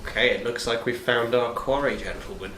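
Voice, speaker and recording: A man's voice: medium pitch, brisk, bright, forceful tone. A man chatting casually as a player in a tabletop role-playing game. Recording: roomy, echoey, slightly noisy.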